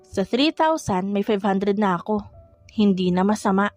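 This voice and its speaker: A woman's voice: medium pitch, lively intonation, normal speed, a little mellow, soft background music, close to an everyday voice. A woman playing a feisty, playful young female fish vendor in an audio drama, exclamatory, cheeky and easily flustered. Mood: playful, gleeful.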